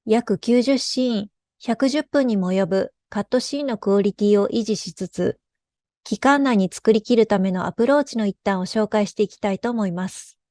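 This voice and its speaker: A woman's voice: mid-range voice, moderate pace, dark tone, dry recording, studio quality. A woman giving a scripted conference presentation as a lead artist.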